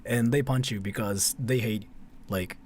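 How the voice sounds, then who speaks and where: A man's voice: fairly low pitch, fairly even intonation, unhurried, a little mellow, slight background noise. A man from Japan chatting casually on a livestream and reacting with sarcastic, repetitive jokes. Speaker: livestreamer reacting to a video and chat.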